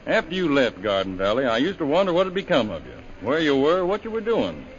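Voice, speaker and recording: A man's voice: a little high, huge pitch swings, quick pace, dull audio. An actor playing a polite, slightly pompous male American vice consul with a Texas drawl, in a 1930s radio drama.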